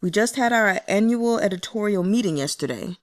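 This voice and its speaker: A woman voicing a curt, listless male book editor in an audiobook, measured and critical. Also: high-pitched, animated. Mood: matter-of-fact. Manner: measured, dismissive. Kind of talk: audiobook character dialogue.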